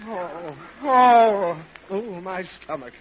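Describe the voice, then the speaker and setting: A man's voice: high voice, expressive, very slow, crowd noise, full character voice. An actor playing an exasperated, short-tempered, suffering father in old radio comedy, groaning and sputtering. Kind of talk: 1940s radio comedy acting. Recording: muffled, noisy old radio show with audience.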